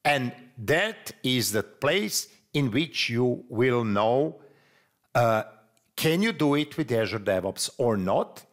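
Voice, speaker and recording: Croatian accent guy doing a software tutorial, slow careful english, voice jumps up and down a lot. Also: mid-range voice, very animated. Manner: slow, deliberate, non-native phrasing with fillers. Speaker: software trainer. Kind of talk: screen-recorded tutorial.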